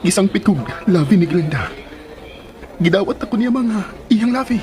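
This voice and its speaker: A man's voice: sits high, lively intonation, moderate pace, a little mellow, soft background music. A man playing an earnest, lovestruck son confiding in his mother in a radio drama. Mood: happy, earnest.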